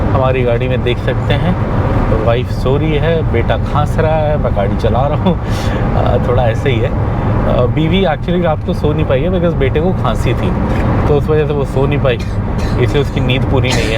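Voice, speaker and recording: Guy talking while he drives, bit of a sore throat, loud car noise behind. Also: a little high, muffled recording. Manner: chatty, thinking aloud with many fillers. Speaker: vlogger. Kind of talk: casual travel narration while driving. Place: inside a car on a highway.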